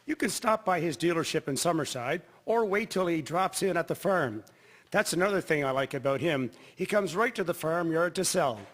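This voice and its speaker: A man's voice: a little high, lively intonation, moderate pace, lightly acted. A man playing a confident farmer giving earnest, helpful advice in a period radio drama. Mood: assured. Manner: earnest, assured.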